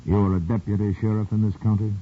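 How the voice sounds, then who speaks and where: A man playing a cold, deep communist boss. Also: level tone, lightly acted. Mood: commanding. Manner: cold, commanding, measured. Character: cold commanding communist boss, male, middle-aged. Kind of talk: 1950s radio drama acting. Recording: tinny old radio broadcast, faint hiss.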